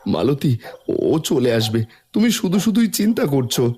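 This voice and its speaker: A man's voice: fairly high pitch, very animated, moderate pace, dark tone, a little hiss or hum. A man voicing a poor, anxious, guilt-ridden village father in a cartoon, speaking emotively over music. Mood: reassuring. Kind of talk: Bengali cartoon fairy-tale dialogue. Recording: music under the voice.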